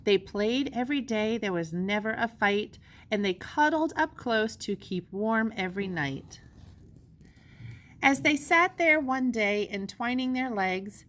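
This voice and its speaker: A woman's voice: fairly high pitch, animated, a little slow, a bit bright. A woman teacher giving step-by-step instructions and reading a storybook aloud expressively to young pupils. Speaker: elementary school teacher.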